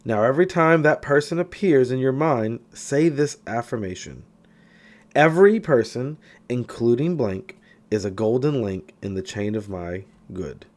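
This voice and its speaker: A man's voice: medium pitch, expressive, unhurried, darkish tone. A man reading a self-help audiobook in an emphatic way, now and then stumbling over a word. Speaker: audiobook reader. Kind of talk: scripted audiobook narration of a self-help text.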